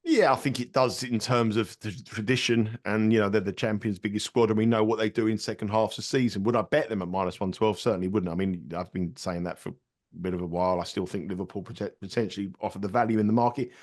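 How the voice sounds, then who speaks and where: A man's voice: on the low side, steady tone, fast talker. A man with a head cold, giving football betting tips in rambling podcast chat. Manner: rambling, repeats words and restarts sentences. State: congested with a cold. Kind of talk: casual betting analysis chat.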